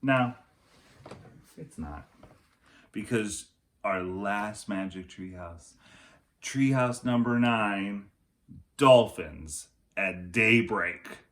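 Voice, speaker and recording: A man's voice: fairly low pitch, extremely slow, pauses often, fairly bright tone. A man narrating a storybook for children in the manner of a teacher reading aloud.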